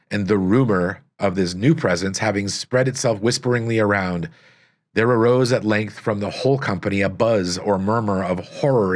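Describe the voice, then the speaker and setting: A man reading a gothic story aloud as scripted narration, with solemn, dramatic, deliberate phrasing. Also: lowish voice. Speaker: audiobook narrator.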